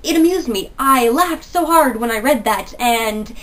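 A woman's voice: on the high side, animated, a little slow, fairly bright tone, recorded from a distance. A young woman in her twenties with a stuffy nose from a cold, chatting enthusiastically through a book review in a small bedroom. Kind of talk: casual video book review. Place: small bedroom with posters, indoors.

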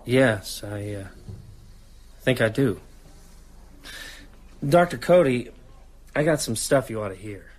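A man's voice: mid-range voice, expressive, takes it slowly, pauses often. An actor playing an earnest, uneasy American man in a radio drama. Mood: grave.

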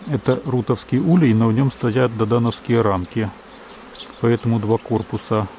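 A man from Ukraine, a beekeeper, calmly narrating outdoors at his hives, reading out times and temperatures in a measured, matter-of-fact way. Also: mid-range voice, a little hiss or hum. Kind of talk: calm narration of apiary footage. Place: outdoors at an apiary beside hives. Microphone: camera's built-in mic. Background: buzzing bees.

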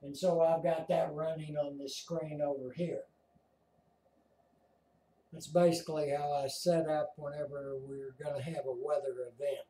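An older American man chatting casually as he explains things as an amateur radio operator, halting and deliberate. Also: a little high, little pitch movement, warm tone. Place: small home radio room, indoors. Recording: roomy, distant from the mic.

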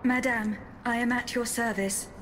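A woman's voice: fairly high pitch, fairly even intonation, moderate pace, a bit bright, a little hiss or hum, natural voice. A woman playing a dutiful, composed automaton bodyguard in a video game, speaking formally. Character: dutiful automaton bodyguard serving the French queen. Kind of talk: dramatic video game cutscene acting.

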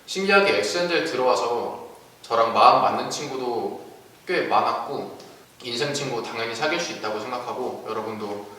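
A man's voice: mid-range voice, moderate pace, pushed and bright. A young man speaking sincerely and earnestly on a student Q&A panel. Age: young adult. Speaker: student ambassador. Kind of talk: casual panel Q&A. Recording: reverberant hall, distant mic.

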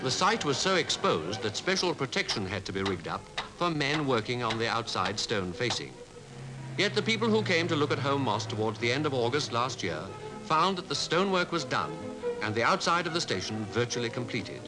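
A man with a British Received Pronunciation accent reading scripted 1950s newsreel narration in a formal, measured way. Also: mid-range voice, very animated, music in the background, low-quality recording. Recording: 1950s newsreel archive soundtrack.

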